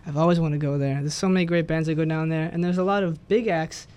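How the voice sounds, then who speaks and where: A man's voice: a little high, fairly even intonation, fast talker, darkish tone, clean tone. A man hosting a radio interview, chatting casually and enthusiastically with a musician guest. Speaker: radio host.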